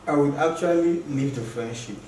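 A young man, a guest explaining his view in a measured, thoughtful way. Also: on the high side, darkish tone. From Nigeria. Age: young adult. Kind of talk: casual group discussion. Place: living room, seated on a couch. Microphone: far camera. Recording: roomy echo from a distant mic.

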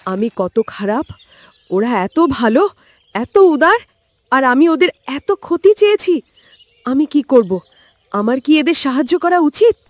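A woman's voice: high voice, very animated, unhurried, long pauses, no echo. A woman voicing a guilty, humbled male crow in a children's cartoon, plaintive and contrite. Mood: guilty, torn.

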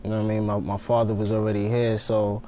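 A man's voice: lowish voice, little pitch movement, fast, dark tone, slight background noise. A young man from Ghana, a fashion designer, answering interview questions in a laid-back, casual way in a TV studio. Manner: laid-back, lots of fillers like "you know what I mean".

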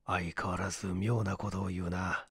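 A man acting a low, strained wounded man. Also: level tone, moderate pace, fairly bright tone, husky, no room sound, studio quality, exaggerated voice. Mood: pained irritation. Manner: strained, terse, low muttering. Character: gravely wounded man in pain, terse and wry. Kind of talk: Japanese audiobook character acting.

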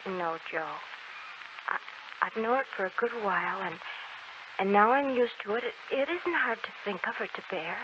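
An actress in a 1940s American radio drama playing a frail, gentle dying young woman, speaking haltingly and wistfully with sweet resignation. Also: medium pitch, lively intonation, natural voice. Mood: gentle, resigned. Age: young adult. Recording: muffled, very noisy 1940s radio recording.

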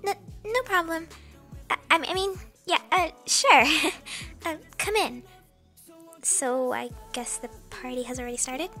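A woman acting an anxious, flustered young woman who speaks haltingly in an animated roleplay drama. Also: sits high, faint music behind, exaggerated voice. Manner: halting, stammering.

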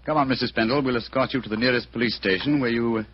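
An actor playing an exacting English gentleman detective in a radio drama, incisive and articulate. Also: medium pitch, very fast, breathy edge, close to an everyday voice. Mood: commanding. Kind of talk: old-time radio drama acting. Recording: dull, hissy old radio recording.

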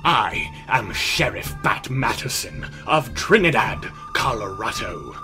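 Man as an excitable, swooping cartoon sheriff. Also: lowish voice, takes it slowly, over music, heavily acted. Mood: self-assured. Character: excitable, self-important male Old West sheriff. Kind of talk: fan comic dub acting.

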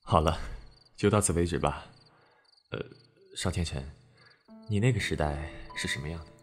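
Costume audio drama lead, male actor: aloof but devoted spirit man, low, restrained, dry delivery. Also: moderate pace, soft background music, natural voice. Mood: guarded, serious.

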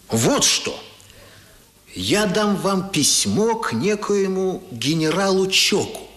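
A man acting a sober, candid, worldly gentleman in a 1970s radio play, with measured and deliberate phrasing. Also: on the high side, echoey room.

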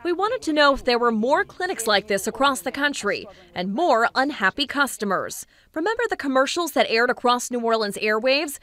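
A woman in her thirties reads an investigative news script with a measured, clear delivery. Her voice sits a little high and sounds bright and crisp. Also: no echo, clean studio sound. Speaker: investigative reporter.

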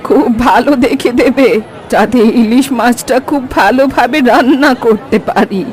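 A woman's voice: a little high, moderate pace, a little mellow, a faint crowd, slight background noise, close to an everyday voice. A woman voicing a homely, chatty elderly village granny in a cartoon, with a folksy, exclaiming manner. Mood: busy, cheerful. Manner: folksy, exclaiming, familiar address to younger villagers. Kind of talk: Bengali cartoon voice acting.

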